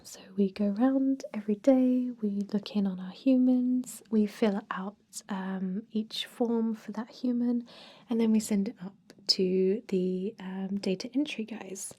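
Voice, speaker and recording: A woman's voice: medium pitch, steady tone, unhurried, close to an everyday voice. Woman acting a whispering, chatty female vampire. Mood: explaining, chatty. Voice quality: soft, breathy whisper. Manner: informal, chatty, confiding, with hesitant ums. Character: chatty, laid-back, gossipy female vampire staffer interviewing a recruit. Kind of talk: whispered ASMR roleplay.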